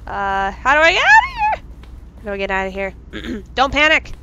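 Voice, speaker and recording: A woman with a stuffy nose, commenting casually and excitably on a video game as its let's play host. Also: on the high side, normal speed, creaky, highs cut off. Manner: excitable, bursts into yelps and laughs. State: stuffy from a cold.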